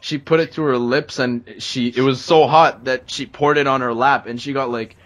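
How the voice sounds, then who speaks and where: A man's voice: medium pitch, steady tone, normal speed, fairly bright tone. A young man hosting a commentary show, chatting casually with a guest. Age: young adult. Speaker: host of a commentary video series. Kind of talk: casual chat.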